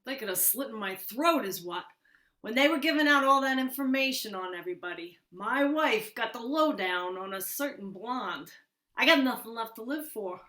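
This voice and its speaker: A woman's voice: a little high, lively intonation, moderate pace, a bit bright. A woman playing a glum, fatalistic male technician with a wry, gloomy manner, in old-time radio comedy style with a roomy echo. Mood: defeated, wry.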